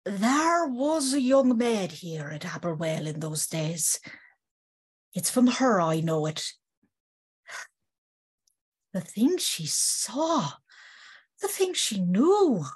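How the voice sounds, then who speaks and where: A woman's voice: medium pitch, sing-song, slow, pauses often, husky, full character voice. A woman playing a secretive older servant telling tales in rustic, old-fashioned phrases for a gothic audio drama. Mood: ominous, confiding. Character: knowing, gossiping older female servant, secretive storyteller.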